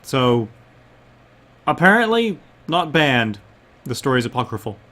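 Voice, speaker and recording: A man from the United States, streaming a game and chatting casually, relaxed and often laughing. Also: mid-range voice, a little slow. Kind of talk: casual gameplay stream chat.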